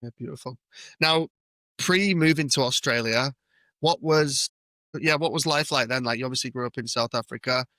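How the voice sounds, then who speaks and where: A man's voice: medium pitch, moderate pace, bright, forceful tone, no room sound. A man with a British accent hosting a podcast in a casual, matey interview style. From United Kingdom. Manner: matey, informal banter. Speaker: podcast host.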